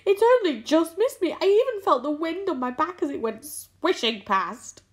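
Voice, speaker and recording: Storybook earthworm, read by a woman: fearful, whiny complainer, high wailing delivery. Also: very animated, moderate pace. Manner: wailing, begging.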